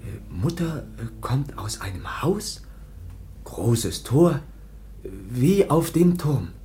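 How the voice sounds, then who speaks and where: A childlike foundling in a radio play, slow, broken speech, soft faint ambience. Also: male voice, mid-range voice, sing-song, slight background noise. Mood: hushed whisper. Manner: halting broken simple speech, often whispering. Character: Kaspar Hauser, a childlike young man with broken speech.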